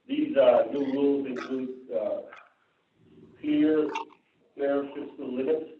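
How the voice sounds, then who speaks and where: A man's voice: mid-range voice, steady tone, slow. Presenter, man with Indian accent, reads board policy aloud with many "uh"s and restarts, heard far off in an echoey room. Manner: reads from notes with frequent "uh" and restarts, adds casual asides. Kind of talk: reading policy items at a public board meeting. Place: meeting room. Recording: distant, phone-like, roomy with faint hiss.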